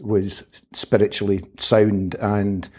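A man, a humanitarian aid worker giving measured, thoughtful answers as an interview guest. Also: lowish voice, level tone, darkish tone. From Scotland. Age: 50s. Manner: measured, thoughtful, qualifies his words. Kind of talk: reflective interview conversation.

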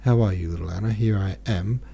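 A man with a deep voice reading the lines of a sad, gentle young merchant from a novel. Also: brisk, vocal fry, a little hiss or hum. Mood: heavy-hearted. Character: young male merchant, heavy-hearted and gentle.

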